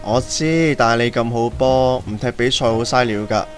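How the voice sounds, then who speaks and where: A man plays a chatty teenage schoolboy in a radio drama, persuasive and full of slang. He has a Hong Kong Cantonese accent and a middling pitch, with faint music underneath. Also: normal speed, close to an everyday voice. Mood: coaxing. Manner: slangy, coaxing. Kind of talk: amateur radio drama dialogue.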